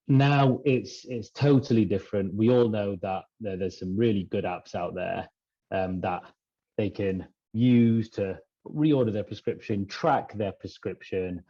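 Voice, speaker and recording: A man talking through ideas in a conversational podcast discussion, in a halting, thinking-aloud manner. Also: on the low side, dark tone, slightly rough, dull audio. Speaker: podcast regular discussing pharmacy business.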